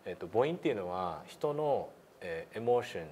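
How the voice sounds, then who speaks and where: A man's voice: fairly low pitch, big pitch swings, fairly quick, darkish tone, slight background noise. A middle-aged man instructing politely in a meeting room, with many hesitations as he explains. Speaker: pronunciation instructor demonstrating an app. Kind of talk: explanatory lesson with polite questions.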